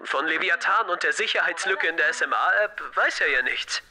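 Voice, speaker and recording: Audio drama role: insistent, sarcastic teen boy, lively swooping pitch, piercingly bright, music behind. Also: normal speed. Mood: mocking. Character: Kai, sardonic, insistent teenage schoolboy. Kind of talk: scripted audio drama dialogue. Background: background music.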